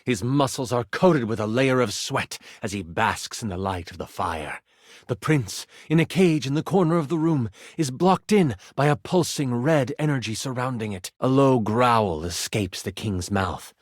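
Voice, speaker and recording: An actor playing a theatrical, playful game master who narrates a fantasy tabletop adventure with suspense in a video game. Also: male voice, medium pitch, animated, normal speed, dry recording, clean studio sound, lightly acted. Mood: suspenseful narrating. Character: theatrical, playful male game master, personified gaming die.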